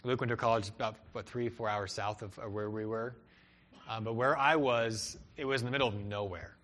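A man's voice: on the low side, fairly even intonation, brisk, fairly bright tone. A young man preaching a sermon, earnest and rising and falling for emphasis, who grew up in Peru and Cleveland. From Peru and Cleveland, Ohio. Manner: earnest, rises and falls for emphasis. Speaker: pastor.

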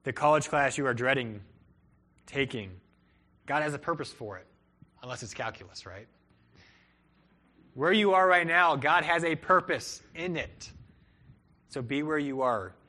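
A man's voice: a little high, unhurried, long pauses, bright, forceful tone. A young man preaching a sermon, earnest and rising and falling for emphasis, who grew up in Peru and Cleveland. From Peru and Cleveland, Ohio. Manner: earnest, rises and falls for emphasis. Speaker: pastor.

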